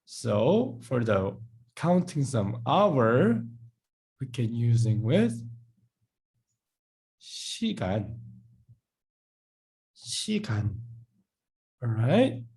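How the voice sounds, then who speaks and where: A man who speaks English, teaching in a live online class. He explains patiently, repeats words slowly and acts out short dialogues. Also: medium pitch, very animated, darkish tone, low-quality recording. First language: Korean. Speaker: Korean language teacher.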